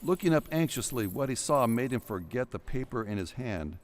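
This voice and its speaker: A man's voice: medium pitch, very animated, brisk. A man reading scripted narration for an audio drama in a dramatic storytelling style.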